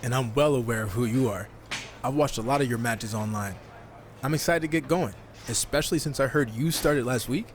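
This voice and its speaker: A man's voice: mid-range voice, a little fast, noisy background, lightly acted. A man voicing a self-assured, sardonic young rookie wrestler in a video game cutscene. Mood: cocky. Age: young adult. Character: cocky, wisecracking young male rookie wrestler.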